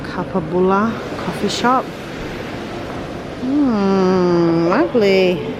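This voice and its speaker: A woman's voice: medium pitch, drawn-out words, dull audio, noisy background. A woman from Argentina filming a casual travel vlog from a car, chatty and exclaiming, with frequent fillers. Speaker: vlogger. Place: inside a car.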